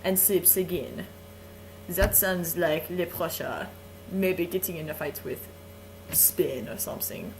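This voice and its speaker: A woman voicing a vain, flirtatious adult Frenchman who reads verse aloud in a slow, suggestive way. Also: on the low side, noisy background. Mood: sultry. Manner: slow, lingering, suggestive delivery with chuckles. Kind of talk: playful dramatic reading of verse.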